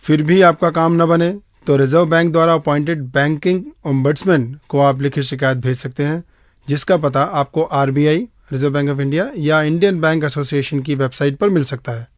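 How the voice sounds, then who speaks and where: An Indian man gives an explanatory interview answer as an advocate. His voice sits a little high for a man, and the recording is dry with almost no echo. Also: fairly even intonation, normal speed. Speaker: advocate giving legal advice.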